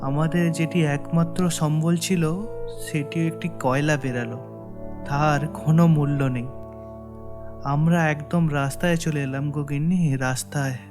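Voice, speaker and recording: A man's voice: a little high, steady tone, normal speed, close to an everyday voice. A man voicing a gullible, earnest village husband in a cartoon story, with a pleading manner. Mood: despairing. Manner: earnest, pleading, stammers when upset. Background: background music.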